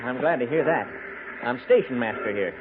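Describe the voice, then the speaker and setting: A man's voice: mid-range voice, animated, normal speed, lightly acted. A man playing a fussy, indignant frontier station master in a 1950s radio western, complaining in an agitated way. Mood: irritated. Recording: noisy, tinny 1950s radio broadcast.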